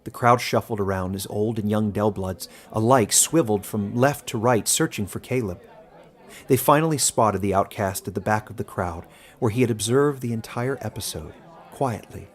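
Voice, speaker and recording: A man with a fairly low voice reads fantasy fiction as an audiobook narrator. His delivery is scripted and dramatic, made for storytelling. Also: moderate pace, a faint crowd.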